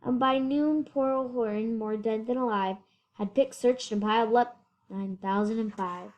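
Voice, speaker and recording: A female reader giving an animated children's picture book read-aloud in rhyme. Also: on the high side, moderate pace, dull audio. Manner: animated rhyming delivery with whispered asides. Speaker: story reader.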